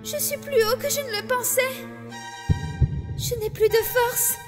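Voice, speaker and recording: An extremely high, childlike voice acted by a woman plays a timid schoolgirl in an anime cartoon. She is anxious and hesitant, with a plaintive edge. Music plays clearly behind her. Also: fairly even intonation, measured pace. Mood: straining, panicky. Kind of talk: French anime fan dub.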